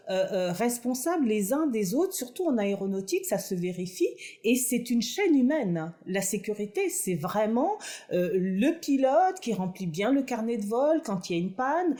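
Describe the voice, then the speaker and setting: A woman's voice: fairly high pitch, warm tone. A middle-aged woman from France answering interview questions at length, earnest and hesitant with many fillers. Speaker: aeronautics engineer and inventor.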